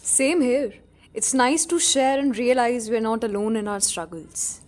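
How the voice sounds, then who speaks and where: A woman's voice: a little high, measured pace, natural voice. A woman playing a friendly, overworked married office commuter in a radio play. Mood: supportive. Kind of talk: amateur radio drama acting.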